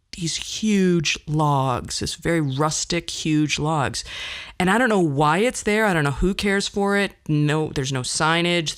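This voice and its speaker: Chatty woman with a lowish voice, telling stories. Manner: chatty, rambling, wry asides. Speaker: podcast host. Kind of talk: casual solo storytelling.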